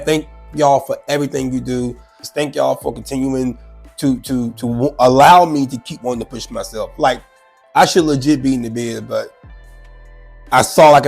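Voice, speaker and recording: A man's voice: mid-range voice, creaky. A congested, sick man hosting his own show, chatting loosely and animatedly about a news clip. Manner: chatty, animated, repeats himself. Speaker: show host. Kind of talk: casual commentary on a news clip.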